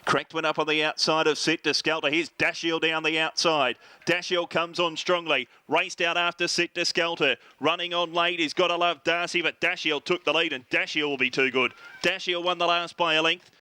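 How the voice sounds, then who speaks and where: Bright, clear Australian male race caller. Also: fairly high pitch, little pitch movement, moderate pace, few pauses, no echo. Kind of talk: live race commentary.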